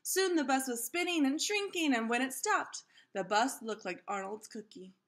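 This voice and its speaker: A woman reading a children's story aloud expressively, pausing to explain things to her listeners. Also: high-pitched, expressive, a bit bright. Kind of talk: children's read-aloud narration.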